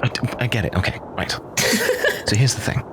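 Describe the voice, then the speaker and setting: A man playing a tabletop game, talking casually and pitching plans in an animated, conspiratorial way. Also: brisk. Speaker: player. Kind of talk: casual in-character table talk. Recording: loud noisy ambience.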